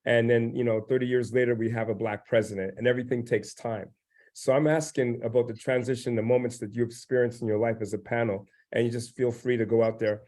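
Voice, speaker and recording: A man's voice: medium pitch, fairly even intonation, fast talker, darkish tone. Rambling male panel moderator. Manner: rambling, encouraging questions.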